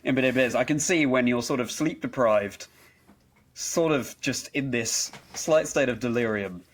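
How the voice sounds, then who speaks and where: Earnest man hosting an interview, mid-pitched. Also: normal speed. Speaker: interview show host. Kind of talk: conversational interviewing.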